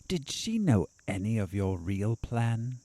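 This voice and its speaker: An actor playing a sardonic asylum doctor in an audio drama, probing and needling in a confrontational way. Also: male voice, on the low side, very animated, slow, dark tone, no echo, full character voice.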